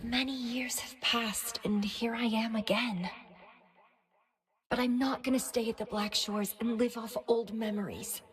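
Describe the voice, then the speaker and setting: A woman's voice: fairly high pitch, fairly even intonation, unhurried, close to an everyday voice. A woman playing a brooding, proud young warrior woman in a video game, weary and defiant, with a dramatic delivery.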